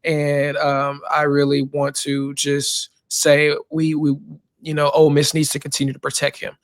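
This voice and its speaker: A young man with a drawl talks college football, his voice worn hoarse. He holds a narrow, even pitch sitting a bit high for a man, but his words tumble out full of fillers and restarts. The sound is dry and close. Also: a little slow, dry recording. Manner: rambling, passionate fan with many fillers. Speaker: co-host. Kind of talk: casual sports podcast chat.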